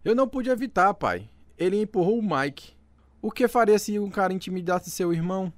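A man's voice: sits high, lively intonation, fairly quick, no room sound. A man playing an agitated, earnest teenage boy in a radio drama. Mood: defensive.

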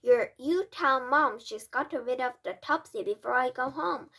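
A woman's voice: high-pitched, normal speed, bright, forceful tone, slightly rough, full character voice. A woman voicing a cheerful, carefree little boy who has been turned into a mouse, eager and gleeful, in a storybook read aloud. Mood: eager, loud.

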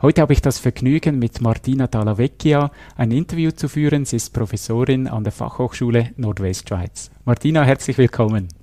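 Mellow-voiced male podcast host. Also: mid-range voice, no room sound. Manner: brisk, asks short questions. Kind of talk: interview hosting.

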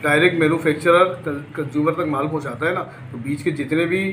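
A man in his thirties with a Karachi Urdu accent, a shop owner explaining his prices in a sales pitch, recorded on a phone in his clothing shop. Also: fairly even intonation, quick pace, a bit bright. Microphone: phone camera held by interviewer. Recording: roomy shop with background noise.

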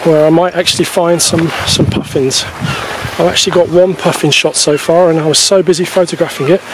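A man in his forties talking casually to camera as a wildlife photography vlogger, explaining things in a halting way on an outdoor camera microphone. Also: on the high side, fairly even intonation, background noise. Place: outdoors on a grassy coastal clifftop. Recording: poor camera-mic sound outdoors, music behind.

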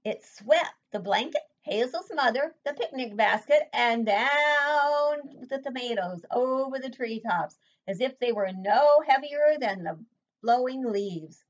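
A woman's voice: on the high side, takes it slowly. Older woman reading a storybook aloud. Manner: expressive read-aloud, asks children questions. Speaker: preschool teacher. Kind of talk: reading a picture book aloud to children. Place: home room, indoors. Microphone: webcam at desk. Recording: dull lo-fi webcam audio.

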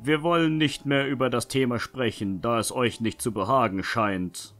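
A man's voice: mid-range voice, normal speed, a bit bright, lightly acted. A man acting a polite, composed young nobleman with formal, diplomatic speech in a fantasy audio drama. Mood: apologetic. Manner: courteous, smooth-talking, formal. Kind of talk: fan-made German fantasy audio drama.

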